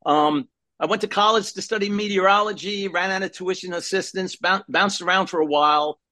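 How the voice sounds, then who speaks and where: A man with a Brooklyn accent, a podcast guest telling his story in a lively, rambling way and often stopping to restart a phrase. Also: high-pitched, a little fast, no room sound. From New York. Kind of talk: casual interview storytelling.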